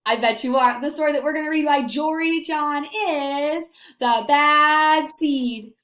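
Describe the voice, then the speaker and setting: A woman teacher giving an expressive read-aloud lesson, asking young children questions and pausing for answers. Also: high voice. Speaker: elementary school teacher. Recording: echoey room.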